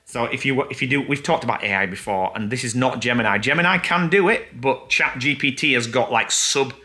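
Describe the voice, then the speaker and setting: A man's voice: mid-range voice, a little fast, sharp tone. A man co-hosting a vlog, chatting casually and enthusiastically to camera with plenty of fillers. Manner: rambling, enthusiastic, many fillers. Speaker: vlog co-host.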